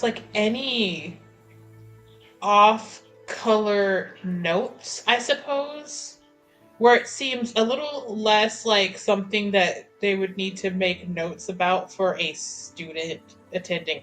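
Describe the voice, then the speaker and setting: A woman with a mid-pitched voice chats her way through a tabletop game with music underneath. She is informal and thinks out loud, describing her character's moves as she goes. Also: slow pace, poor audio. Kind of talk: casual improvised roleplay chat. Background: background music.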